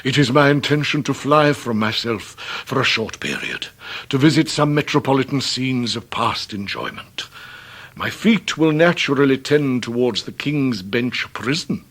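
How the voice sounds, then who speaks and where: A pompous Victorian English debtor, mid-pitched and grandly theatrical. He rolls out ornate, long-winded phrases with downcast dignity, as in a period radio drama. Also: lively intonation, a little slow, fairly bright tone. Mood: mournful, grandiose.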